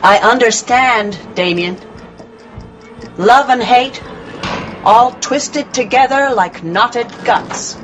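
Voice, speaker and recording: A woman's voice: on the high side, slow pace, pauses often, dull audio, over music. An actress in a radio drama playing a taunting, foul-mouthed demon that possesses a young girl, mocking and sneering. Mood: gloating.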